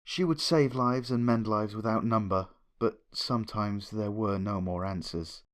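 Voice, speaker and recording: A man's voice: fairly low pitch, a little slow. A man reading a story aloud as a dramatic, scripted first-person narration. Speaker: fan fiction reader, narrator.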